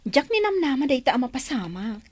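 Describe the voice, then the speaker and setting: A woman's voice: high voice, brisk, no room sound. A woman playing a chirpy young best friend in a radio drama, playful and teasing. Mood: delighted. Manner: playful, animated delivery.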